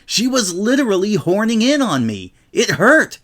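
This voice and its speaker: A man voicing a brash, indignant young female pegasus pony, loud, defensive and exclamatory, in a story reading. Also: high voice, wildly swooping pitch, normal speed, pitched above the performer's own voice, exaggerated voice.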